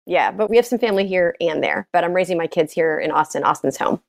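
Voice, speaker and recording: A woman's voice: on the low side, quick pace, gravelly, no room sound, studio quality. A middle-aged woman from Texas answering interview questions in a casual, reflective conversational way. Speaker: interview guest, gun violence prevention advocate.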